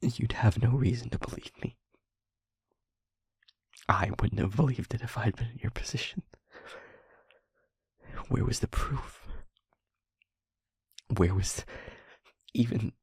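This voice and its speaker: A man playing a guilt-ridden demon lover in an audio roleplay, confessing tenderly and in anguish in a halting, breathy, husky hush. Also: mid-range voice, no echo, full character voice. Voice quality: breathy, husky, often whispering. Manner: halting, hushed, dramatic pauses. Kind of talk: intimate audio roleplay monologue.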